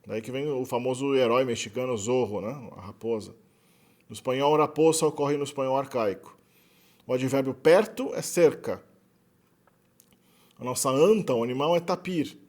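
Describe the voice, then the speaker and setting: A man with a Brazilian Portuguese accent talks through a linguistics lesson at a middle pitch. His delivery is loose and conversational, with frequent tag questions and small self-corrections as he explains. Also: moderate pace, darkish tone. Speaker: linguistics lecturer. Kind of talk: explanatory lecture.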